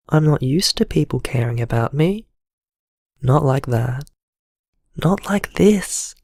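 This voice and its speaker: Lonely, apologetic man played by a male actor for audio drama; hesitant confessional delivery, slow and dry, close-sounding. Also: mid-range voice, creaky, darkish tone, no room sound. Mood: vulnerable, halting. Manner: hesitant, halting, confiding. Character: vulnerable, lonely man named Ricardo, apologetic and grateful. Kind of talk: naturalistic audio drama voicemail monologue.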